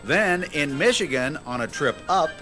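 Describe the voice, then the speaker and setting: Male narrator, higher-than-average voice, satirical news-style read over loud music. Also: lively intonation, normal speed.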